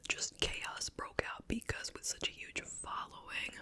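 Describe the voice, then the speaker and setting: Whispering, breathy ASMR host. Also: pushed and bright. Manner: casual, gossipy storytelling with pauses. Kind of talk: whispered ASMR chit chat.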